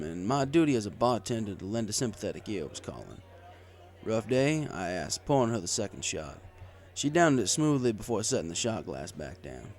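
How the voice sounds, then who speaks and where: A man reading a story aloud in the first person as a bartender narrator. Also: on the low side. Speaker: audiobook reader. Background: music.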